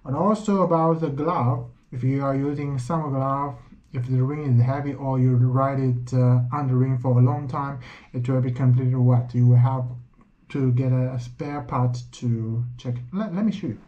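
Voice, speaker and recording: A young man from China with a Chinese accent, speaking English as a second language in a casual motorcycle gear vlog with plain, practical phrasing and frequent pauses. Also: medium pitch, steady tone. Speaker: motorcycle vlogger. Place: outdoors riding a motorbike in the rain, then a small room indoors. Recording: dull lo-fi audio with traffic noise.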